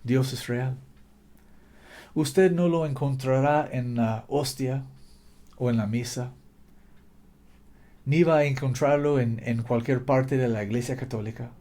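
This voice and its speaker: A man's voice: medium pitch, takes it slowly, pauses often, dark tone. A man preaching a fervent sermon in a language that is not his native one, exhorting listeners with repetition and occasional slips. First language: not Spanish.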